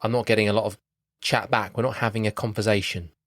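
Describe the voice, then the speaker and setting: A man's voice: lowish voice, fairly even intonation, quick pace, dry recording, studio quality. A man co-hosting a podcast in casual banter, grumbling and rambling as he talks. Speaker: podcast co-host. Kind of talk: casual film chat with banter.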